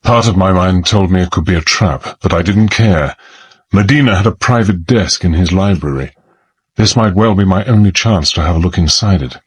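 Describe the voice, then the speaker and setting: A low, husky gentleman adventurer. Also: normal speed. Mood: grave, confiding. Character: gentleman adventurer hero narrating his dangerous undercover exploit. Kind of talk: dramatized first-person narration.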